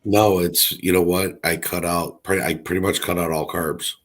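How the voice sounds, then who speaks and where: A middle-aged man with a raspy, hoarse voice from allergies, chatting casually in a rambling way with many fillers. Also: low voice, steady tone, normal speed. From Chicago area. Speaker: co-host. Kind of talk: casual livestream chat.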